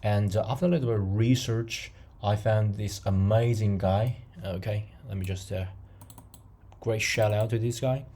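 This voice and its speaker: A man whose first language is not English, hosting a casual coding tutorial, talking haltingly with many fillers and restarts and chuckling often. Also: on the low side, a little mellow.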